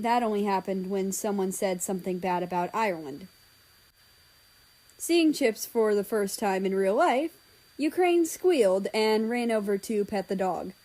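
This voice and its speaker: A woman's voice: fairly high pitch, dark tone, rough recording. A woman narrating a written story as its reader.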